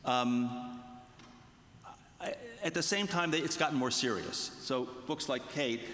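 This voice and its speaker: A man speaking as a writer on a panel, giving considered commentary in a measured, halting, reflective way. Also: mid-range voice, a bit bright. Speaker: true crime writer on a panel. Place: large reverberant festival venue. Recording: echoey hall with long reverb.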